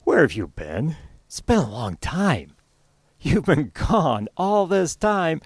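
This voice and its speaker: A man's voice: on the high side, sing-song, takes it slowly, husky, voice pushed up, full character voice. A man voicing an impatient wife scolding her late husband.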